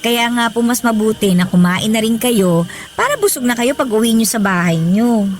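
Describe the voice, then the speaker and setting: A woman's voice: on the high side, lively intonation, moderate pace, close to an everyday voice. A woman with a Filipino accent playing a polite, caring younger friend of an older woman in a radio drama. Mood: concerned, kindly. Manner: polite, solicitous.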